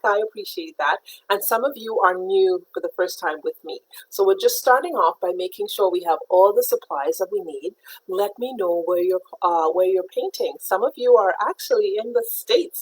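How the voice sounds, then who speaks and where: Patient woman teaching painting, breathy voice. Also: mid-range voice, poor audio. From Trinidad. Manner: patient, step-by-step instruction; greets viewers brightly by name. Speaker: art teacher. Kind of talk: live-streamed painting lesson.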